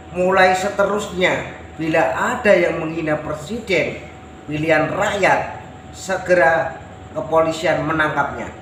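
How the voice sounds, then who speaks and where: A man's voice: on the high side, fairly bright tone. A man from Java with a Javanese accent delivers a patriotic monologue to viewers in an oratorical, emphatic manner, repeating his phrases. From Java, Indonesia. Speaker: self-styled folk personality addressing viewers. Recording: roomy echo with clear background noise.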